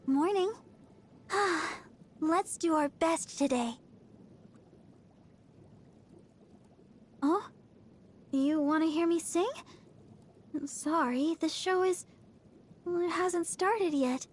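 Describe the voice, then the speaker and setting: A woman's voice: extremely high pitch, slow, long pauses, a little hiss or hum. A woman voicing a cheerful, devoted young girl idol healer in a video game, sweet, earnest and bubbly. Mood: bright, sheepish. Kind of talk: video game character voice lines.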